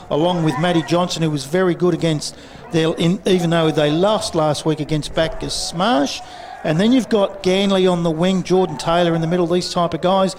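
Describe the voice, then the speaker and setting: A man with an Australian accent leading sports commentary, brisk and fluent as he reels off names, fixtures and scores. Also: high voice, noisy background. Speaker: lead commentator. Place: outdoor football ground. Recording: outdoor commentary with clear crowd.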